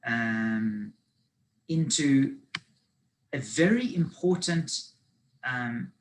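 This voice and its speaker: A man's voice: mid-range voice, expressive, extremely slow, slight background noise. South African man presenting on a video call. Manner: conversational and explanatory, with frequent ums and restarts. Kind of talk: live online business finance presentation.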